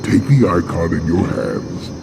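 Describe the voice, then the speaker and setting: An actor playing a hulking, arrogant alien chieftain who speaks in a slow, threatening, gravelly growl. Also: male voice, low voice, huge pitch swings, very noisy, heavily acted. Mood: menacing. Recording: loud game sound effects behind.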